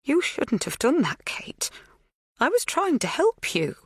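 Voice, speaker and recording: An unhinged woman villain from an audiobook thriller, played by a woman narrator. She sounds plaintive yet disdainful and brooding, breathy and raised in pitch. The recording is very dry. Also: very animated, slow pace, no echo, exaggerated voice.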